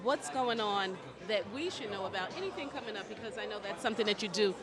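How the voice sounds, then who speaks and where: Very high-voiced playful interviewer. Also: male voice, animated, fast talker, over music. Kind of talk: casual interview. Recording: crowd noise behind.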